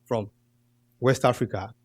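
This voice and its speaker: A man's voice: medium pitch, steady tone, measured pace, a little mellow, husky, dry recording. Young Nigerian man presenting, hesitant. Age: young adult. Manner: hesitant, many ums and uhs. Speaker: graduate student presenter. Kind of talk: conference presentation. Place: conference hall at a podium. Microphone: podium mic.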